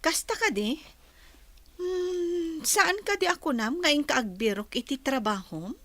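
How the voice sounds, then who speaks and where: A woman's voice: high-pitched, lively intonation, unhurried, a bit bright, no echo, exaggerated voice. A woman playing an earnest, friendly young graduate in an audio drama, with a Filipino Ilocano accent. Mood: curious.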